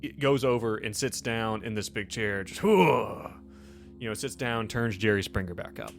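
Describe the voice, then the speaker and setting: A man narrating casually as game master of a tabletop game, improvising with halting, repeated phrases. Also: on the low side, expressive.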